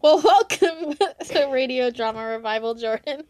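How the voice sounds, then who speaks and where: A woman's voice: sits high, moderate pace, a little mellow, dry recording, soft background music. A woman speaking as a podcast host and interviewer. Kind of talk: scripted podcast introduction, then casual interview chat.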